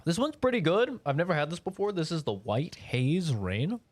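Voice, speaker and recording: A man's voice: fairly high pitch, big pitch swings, moderate pace, dry recording. A young man chatting casually while streaming a game, rambling and swearing in a laid-back way. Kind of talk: casual live-stream chat while gaming.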